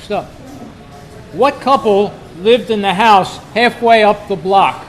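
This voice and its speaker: A man hosting a club quiz, reading trivia questions out to a group in a deliberate way and repeating them. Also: sits high, soft background music. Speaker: quiz host at a club meeting.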